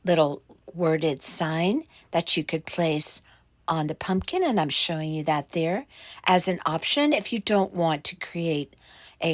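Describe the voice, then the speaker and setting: Woman, hoarse from a cold, raspy croaky voice, lowish pitch, unhurried step-by-step craft explanation, dry close recording. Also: no room sound. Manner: slow, explanatory, with fillers and self-corrections. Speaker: craft tutorial host. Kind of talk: step-by-step craft tutorial voice-over.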